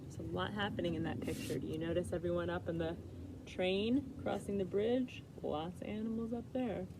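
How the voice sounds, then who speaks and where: A woman's voice: lowish voice, fairly even intonation, normal speed, noisy background. A woman chatting casually as a storyteller reading to children, putting questions to her listeners. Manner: conversational, asks listeners questions. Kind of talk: casual interactive read-aloud chat.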